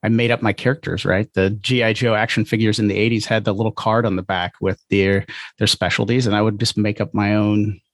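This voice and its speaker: A man's voice: lowish voice, flat delivery, brisk, no room sound. A middle-aged man with an American accent, hosting a casual podcast interview with meandering, hesitant questions. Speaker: podcast host.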